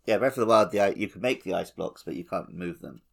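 A man's voice: sits low, quick pace, gravelly. A man co-hosting a podcast in a casual, conversational style about a video game. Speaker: podcast co-host.

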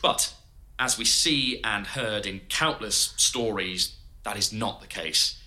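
An actor playing a curious, sympathetic oral-history interviewer, asking questions in a conversational way. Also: male voice, lowish voice, slow pace, pushed and bright, heavily acted.

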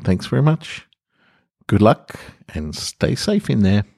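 A man hosting a podcast, speaking in a measured, deliberate way with pauses between phrases. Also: fairly low pitch, huge pitch swings, vocal fry, very noisy. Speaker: podcast host and reader. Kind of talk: scripted literary monologue narration.

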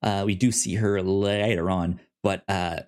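Podcast host, male, lower register, loose chatty recap with stutters and lots of "like". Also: fairly even intonation, a little slow, a little mellow. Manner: retells scenes loosely, with stuttered repeats and "like". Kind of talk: casual comic book discussion.

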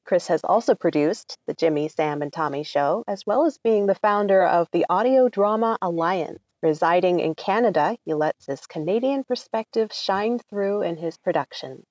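A woman's voice: lowish voice, moderate pace, few pauses, a little mellow, no echo. A woman co-hosting a podcast, chatting casually and introducing a guest. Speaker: podcast co-host. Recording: dull, lo-fi sound.

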